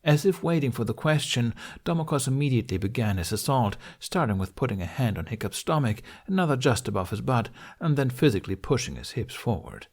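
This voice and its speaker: A man's voice: mid-range voice, lively intonation, a little fast, hardly any pauses, darkish tone. A man narrating a fantasy story as an audiobook, reading scripted prose expressively.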